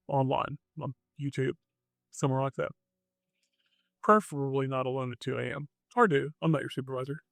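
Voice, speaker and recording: A man with a mid-pitched voice narrates like a podcast host telling an eerie story. His phrasing is short and suspenseful, with a deadpan streak. The sound is very dry, with no room around it. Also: creaky, no echo.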